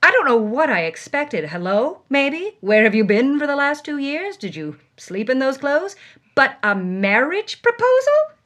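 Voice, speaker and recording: A woman's voice: high-pitched, very animated, a little slow, a bit bright, pitched above the performer's own voice, exaggerated voice. Woman playing a wry, world-weary movie star. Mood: incredulous, torn. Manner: dramatic, wry, noir-style narration.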